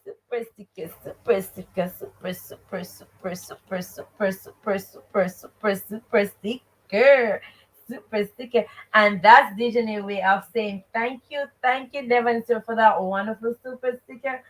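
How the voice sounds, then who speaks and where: A woman with a Jamaican accent hosting an interactive read-aloud lesson, expressive and emphatic, putting questions to her listeners. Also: mid-range voice, a little slow, rough recording. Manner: expressive, emphatic, repeats rhetorical questions and stock phrases. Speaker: teacher and host of a live read-aloud show.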